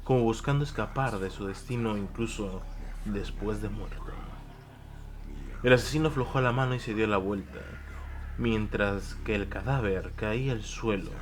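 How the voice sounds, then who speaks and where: A man giving solemn, deliberate scripted narration of a dark audio drama. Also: fairly low pitch. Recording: clear noise and ambience behind voice.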